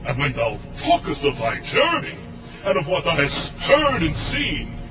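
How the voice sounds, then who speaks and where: Slow, echoing, menacing dragon fiend. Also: male voice, a little high, huge pitch swings, lo-fi sound. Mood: accusing, scornful. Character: monstrous winged male dragon fiend, accusing, menacing villain. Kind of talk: audio drama acting in archaic English. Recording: echoing, distant voice over loud music.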